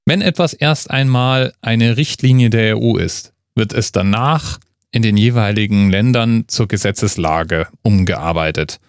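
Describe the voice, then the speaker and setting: A man's voice: lowish voice, unhurried, clean tone, no room sound, clean studio sound. A man giving conversational, emphatic opinion commentary straight to the listener, with rhetorical questions. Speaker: host of an online commentary.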